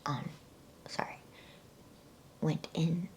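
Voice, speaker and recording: A woman reading a storybook in a soft, breathy ASMR whisper, with a soothing, unhurried manner and casual delivery. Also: low-pitched, level tone. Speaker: ASMR bedtime story reader.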